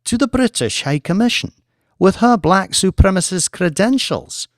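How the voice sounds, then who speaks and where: A man's voice: high voice, lively intonation, moderate pace, dry recording, clean studio sound, pitched above the performer's own voice. A man voicing a firm, formal British woman diplomat in an audiobook. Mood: incredulous. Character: proficient, wary female British diplomat, firm, professional.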